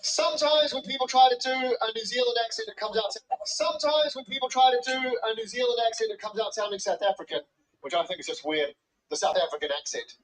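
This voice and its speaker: Fast-talking man doing stand-up. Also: extremely high pitch, fairly bright tone, slight background noise, poor audio. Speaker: comedian. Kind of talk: stand-up comedy clip.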